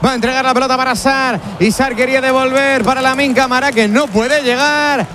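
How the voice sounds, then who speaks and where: A man calling a live football match play by play, quick and joking. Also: extremely high pitch, fairly even intonation, slight background noise. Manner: fast, bright play-by-play calls with jokes. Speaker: play-by-play commentator.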